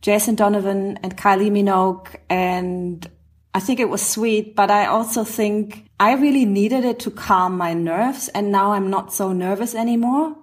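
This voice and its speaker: A woman's voice: medium pitch, fairly even intonation, normal speed. Chatty woman podcast host in her forties. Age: 40s. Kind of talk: casual conversational podcast.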